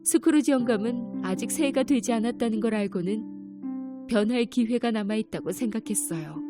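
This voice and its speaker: A woman narrating a children's fairy tale in a gentle, clear storytelling manner. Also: a little high. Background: music.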